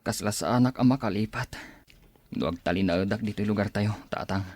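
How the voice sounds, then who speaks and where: A male actor plays a heartbroken young Ilocano man in a radio drama. His voice sits low and sounds plaintive and brooding, full of self-pity. The sound is close and dry. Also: fairly quick, a little mellow, dry recording. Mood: sad resignation.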